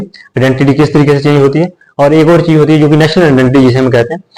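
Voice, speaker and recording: A man's voice: mid-range voice, darkish tone, rough recording. Male teacher, casual explainer, repeats points and checks in with the class. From India. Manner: conversational, repeats phrases, checks in with listeners. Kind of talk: explanatory lecture.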